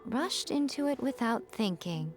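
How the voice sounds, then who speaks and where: A woman voicing a sly, haughty, teasing mystical familiar in a video game dub, with an arch, measured delivery. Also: fairly high pitch, expressive. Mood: wry, unimpressed. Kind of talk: dubbed video game dialogue. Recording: background music under the voice. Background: game music.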